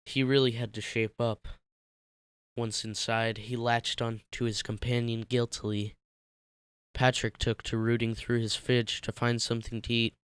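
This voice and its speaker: A man's voice: medium pitch, level tone, measured pace, smooth, no room sound. A man narrating fan fiction as a dramatic scripted reading.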